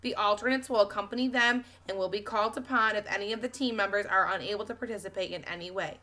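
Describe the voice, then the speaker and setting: A woman voicing a proud male schoolteacher with a formal, deliberate, dry manner, reading from a storybook. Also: mid-range voice, pushed and bright, natural voice.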